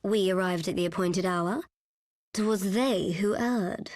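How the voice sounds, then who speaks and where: A woman playing a calm, scheming figure in a video game cutscene, placating and formal in an archaic way. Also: medium pitch, slow, dry recording, studio quality, lightly acted. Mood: placating, composed. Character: calm, smooth-talking female schemer.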